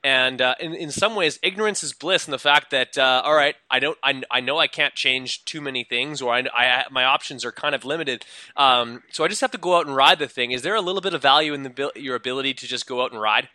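A male podcast host with a very bright, forceful voice and a dry, close sound. He interviews his guest casually, rambling and restarting his sentences with plenty of fillers. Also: medium pitch, fast talker, no echo.

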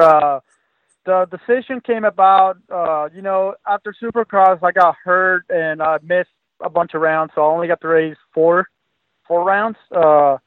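A young man from Venezuela, heard over a dull, muffled line with a dry sound and a slightly higher voice. He speaks as a guest in an interview, relaxed and easygoing, with frequent fillers. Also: dry recording. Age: 20s. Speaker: guest, professional motocross racer. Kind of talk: casual interview.

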